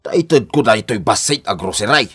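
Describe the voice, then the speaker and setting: An actor playing an older visiting in-law in a radio comedy drama, polite and explanatory in delivery. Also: male voice, lowish voice, expressive, brisk, fairly bright tone, breathy edge. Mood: sociable.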